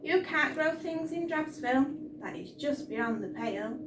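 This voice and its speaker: A woman's voice: sits high, expressive, a little slow, pitched above the performer's own voice. A woman voicing a pompous, tyrannical chimpanzee mayor from a storybook, barking imperious commands. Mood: outraged, bellowing. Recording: roomy, distant mic, noisy.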